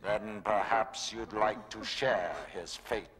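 Slow, icy vengeful villain. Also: male voice, lowish voice, steady tone. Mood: cold menace. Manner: deliberate, icy, formal delivery. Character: cold, vengeful male villain, slow and emotionless. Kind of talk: animated series villain acting. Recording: long reverb, loud background noise.

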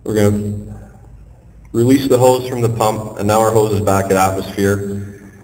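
Regular guy hvac tech talking thru a shop test, kinda low voice, lots of um, echo hanging in the room. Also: unhurried. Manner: relaxed, plain-spoken, frequent ums. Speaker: HVAC technician and channel host. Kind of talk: casual hands-on demonstration. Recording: reverberant space with faint noise.

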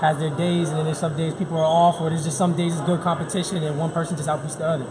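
A man's voice: a little high, level tone, fast talker. A young man, a basketball player, giving a casual, slangy postgame interview. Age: young adult. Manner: slangy, informal. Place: large indoor basketball gym. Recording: gym with clear crowd noise behind.

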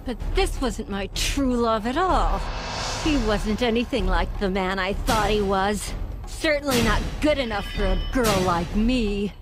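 A self-satisfied, lovesick woman voiced by an actress at a middling pitch. She narrates a game cutscene with deluded, vain drama, over loud music. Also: expressive, a little slow, lightly acted. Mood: dismayed, haughty. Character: vain, lovesick, deluded woman hunting her perfect man.

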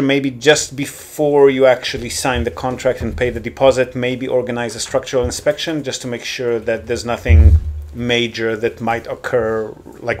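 Man, podcast host and property advisor, easygoing explainer, mid pitch, unhurried with filler pauses. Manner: relaxed, explanatory, frequent ums. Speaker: real estate consultant and podcast host. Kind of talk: casual consultation call.

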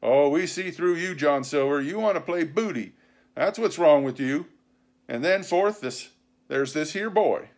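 A man reading a storybook, playing a blunt, accusing mutinous pirate who insists in rough nautical slang. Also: a little high, expressive, unhurried, voice pushed up.

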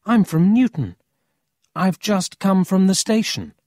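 A narrator voicing an earnest, cautious young country boy who is serious and quick to bristle in a children's storybook. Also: mid-range voice, sing-song, a little mellow, no room sound, studio quality, voice pushed up.

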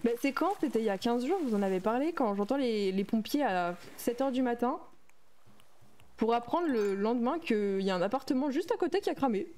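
A young woman chats through a gaming livestream. Her voice sits a little high. She reads and answers viewer messages aloud in an easy, casual flow. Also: animated, brisk, mellow, slight background noise. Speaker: streamer. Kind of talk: casual livestream chat while playing a game.

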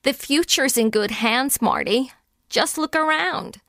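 A woman's voice: sits high, a little slow, fairly bright tone, no echo, clean studio sound. A woman playing a confident, outspoken female film director who guides others in a radio drama, assured and blunt. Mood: gentle, encouraging.

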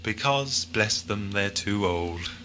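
A man's voice: fairly low pitch, lively intonation, takes it slowly, pushed and bright, exaggerated voice. A man playing a genial, absent-minded time-travelling Doctor in a sci-fi audio drama, musing and hesitant. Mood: cheerful, wistful. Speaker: actor. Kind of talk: 1980s amateur sci-fi audio drama acting. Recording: noisy old cassette audio drama.

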